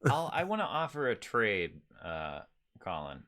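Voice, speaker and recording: A man's voice: lowish voice, huge pitch swings, a little slow, fairly bright tone. A man hosting a podcast in a casual, laid-back and jokey way. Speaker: podcast host. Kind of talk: casual chat.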